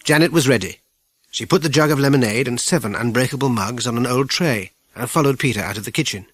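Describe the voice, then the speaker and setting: A British man narrates a children's story from a script. His voice sits at a middling pitch and the phrasing is measured and clear. The recording is dry, with no room echo. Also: fairly bright tone. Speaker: audiobook narrator.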